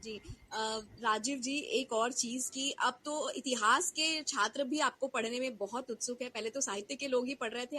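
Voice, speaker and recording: A woman's voice: sits high, bright, forceful tone. A woman with an Indian accent hosting an interview, putting questions to a guest in a conversational way. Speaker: interview host. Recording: faint background noise and ambience.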